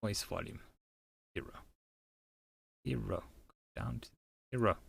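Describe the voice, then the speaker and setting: A man's voice: fairly low pitch, takes it slowly, creaky, fairly bright tone, husky, no echo. A man giving casual, joking asides while reading through a game in a wry, offhand way. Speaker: channel narrator.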